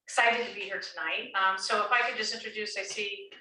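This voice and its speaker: A woman's voice: medium pitch, steady tone, brisk, pushed and bright. A woman, a town official, giving friendly opening remarks at a town meeting, with frequent ums, heard from a distance. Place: senior center meeting room. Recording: echoey room, distant mic, faint hiss.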